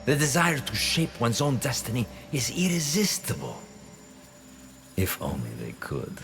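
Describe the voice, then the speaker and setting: An actor playing a suave, scheming conspirator in a video game, speaking with theatrical, deliberate menace. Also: male voice, medium pitch, huge pitch swings, vocal fry, a bit bright, faint music behind, heavily acted. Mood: smug, persuasive. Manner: theatrical, deliberate, silky persuasion. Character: suave, scheming older male conspirator mastermind, patient and menacing. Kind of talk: video game cutscene acting.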